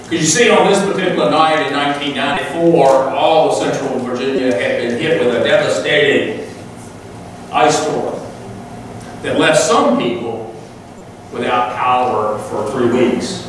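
A man in his sixties delivers a sermon, telling stories in a folksy way with flashes of dry humor. His voice rings through a big, echoey sanctuary and comes from some distance from the mic. A steady room hiss sits underneath. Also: medium pitch, unhurried. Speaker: pastor. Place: large church sanctuary. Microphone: far camera, room pickup.

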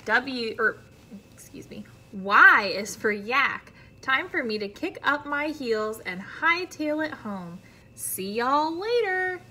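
A woman's voice: sits high, animated, slow, pushed and bright, a little hiss or hum. An American woman, around her thirties, reads a children's picture book aloud like a teacher, expressively and carefully, with friendly asides to young listeners. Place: indoor classroom.

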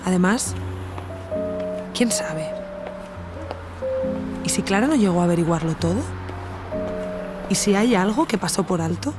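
An actress playing an introspective podcast investigator with an accent from Spain, narrating in a measured, reflective first person for an audio drama. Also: female voice, on the low side, animated, loud music behind the voice. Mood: pensive, questioning.

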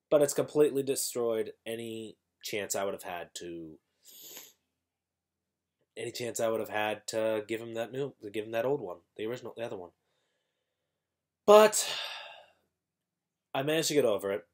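A young man with a somewhat low voice talks casually into a webcam in a small room at home. He sounds congested from an irritated throat. He rambles, restarts sentences and keeps correcting himself. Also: darkish tone. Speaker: YouTuber. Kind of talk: casual vlog talk to camera.

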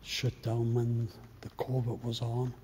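A man giving casual exploring commentary in unhurried, offhand fragments, recorded on a handheld camera inside an empty building. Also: mid-range voice, completely flat delivery. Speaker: urban exploration vlogger. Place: inside an empty church and hall. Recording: noisy handheld camera audio.

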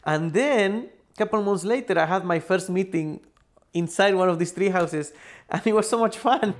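A man's voice: high-pitched, normal speed. A man in his forties from Argentina, chatting casually in an interview, hesitant with lots of fillers and restarts. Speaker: recruiter at a tech company. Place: office building and company shuttle.

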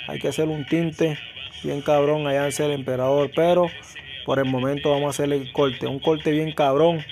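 A man, narrating a haircut in his small barbershop in an excited, slangy way, repeating words for hype. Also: fairly high pitch. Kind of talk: casual haircut vlog narration.

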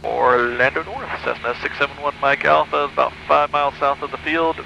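A man's voice: medium pitch, a little fast, vocal fry, sharp tone, dull audio. A male pilot giving terse instructions and radio calls over a headset in a small plane. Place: small propeller plane cockpit in flight. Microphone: aviation headset mic. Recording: aircraft headset intercom with engine noise.

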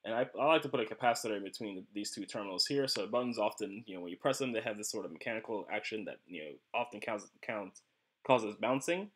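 A man's voice: fairly low pitch, fast talker. A man narrating an unscripted electronics tutorial as a hobbyist, explaining things informally and ramblingly, with "you know" sprinkled through. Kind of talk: casual unscripted tutorial narration over screen capture.

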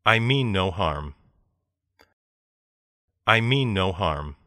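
A man reading short example sentences carefully and clearly for a listening lesson, in a dry studio voice-over. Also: sits low, animated, very slow. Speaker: listening practice speaker. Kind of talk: slow read example sentences.

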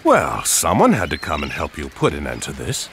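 An actor playing a proud, resolute admiral of Atlantis with a commanding, theatrical delivery in a video game cutscene. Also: male voice, extremely low pitch, huge pitch swings, moderate pace, creaky, fairly bright tone, a little hiss or hum, exaggerated voice. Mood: courteous, wry.

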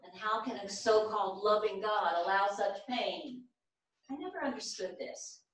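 A woman's voice: mid-range voice, moderate pace, close to an everyday voice. A woman acting an outspoken, wry older mother in a staged theatre reading. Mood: indignant, sardonic. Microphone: distant camera. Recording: echoey theatre, recorded from far off.

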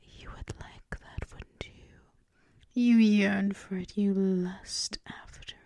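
A woman voicing a seductive, dominant vampire mistress in a breathy, teasing, mostly whispered ASMR roleplay. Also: mid-range voice, fairly even intonation, mellow, no room sound, clean studio sound. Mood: possessive, seductive. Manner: breathy, slow, teasing, coaxing. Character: seductive, dominant vampire mistress doting on her pet thrall.

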